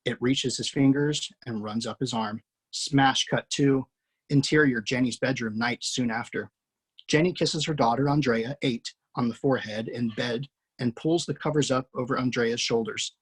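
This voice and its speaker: Husky man reading a screenplay. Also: medium pitch. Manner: steady, matter-of-fact. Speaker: screenplay reader. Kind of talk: reading screenplay action lines.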